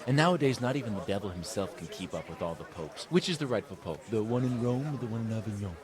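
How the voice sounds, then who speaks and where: A man's voice: lowish voice, expressive, quick pace, crowd noise, natural voice. A man playing a hot-headed, scornful loyalist townsman who declaims emphatically in a video game cutscene.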